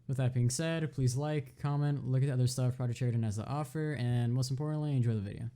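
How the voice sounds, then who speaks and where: Mellow-voiced man giving a podcast notice. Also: medium pitch, steady tone, quick pace. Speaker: podcast announcer. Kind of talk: spoken introductory notice.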